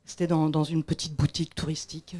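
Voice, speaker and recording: A woman from Belgium, asking a reflective question from the audience with many pauses and restarts. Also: low voice, level tone, dark tone, breathy edge. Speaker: audience member. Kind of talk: question from the floor.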